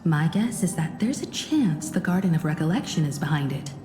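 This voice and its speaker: An actress playing a thoughtful, polite young explorer who asks inquisitive questions. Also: female voice, sits low, very animated, brisk. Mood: curious. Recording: roomy reverb with game music.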